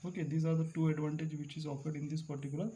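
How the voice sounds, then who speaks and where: Man lecturing, mellow slightly high voice. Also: level tone, slight background noise. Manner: repetitive, stresses key terms slowly, clears throat. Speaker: teacher. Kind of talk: classroom lecture at a board.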